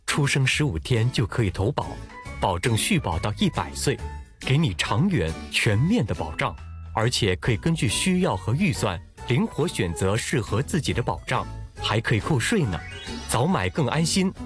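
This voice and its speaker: A man voicing a radio advertisement with bright, persuasive announcer delivery. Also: medium pitch, very animated, moderate pace, faint music behind.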